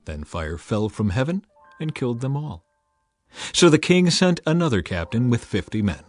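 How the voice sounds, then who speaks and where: A man narrates a dramatized scripture reading in a measured, solemn voice with an average pitch. Gentle music plays faintly in the background. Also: big pitch swings, no echo.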